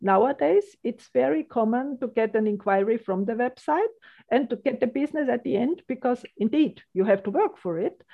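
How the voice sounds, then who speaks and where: A woman's voice: a little high, lively intonation, moderate pace, gravelly, narrow, tinny audio. Woman, hesitant and chatty. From Austria. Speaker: logistics business guest. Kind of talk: casual business interview.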